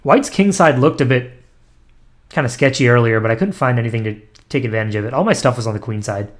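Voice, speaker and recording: A man's voice: mid-range voice, quick pace. A young man thinking aloud as he plays blitz chess online, muttering moves and self-deprecating asides with frequent ums and restarts. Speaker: chess streamer.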